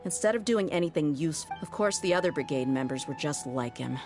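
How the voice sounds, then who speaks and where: Low-voiced, tough desert mercenary. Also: female voice, animated, fast, darkish tone. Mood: troubled, scornful. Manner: frank, wry, conversational. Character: tough, frank female desert mercenary, world-weary and candid. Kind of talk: video game dialogue. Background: music.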